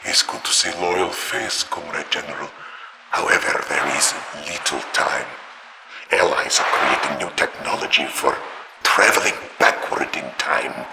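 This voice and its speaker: An actor playing a grave, urgent Soviet leader with a Russian accent, speaking solemnly and dramatically over a transmission in a game. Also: male voice, very deep voice, measured pace, vocal fry, heavily acted. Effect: encrypted radio transmission. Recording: noisy, echoing transmission.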